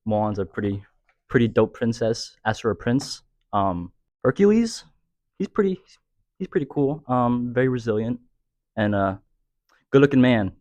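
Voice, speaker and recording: A man's voice: medium pitch, animated, normal speed, pauses often, darkish tone, no echo. A young college man giving laid-back, easygoing interview answers. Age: young adult. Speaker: contestant.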